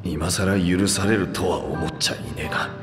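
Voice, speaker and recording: An actor playing a proud, anguished reptilian warrior with rough, boastful speech in a video game. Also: male voice, low-pitched, fairly even intonation, moderate pace, lightly acted. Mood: subdued, resolute. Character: proud two-headed reptilian warrior man, anguished and remorseful. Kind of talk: dramatic video game voice acting. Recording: echoey long reverb under music.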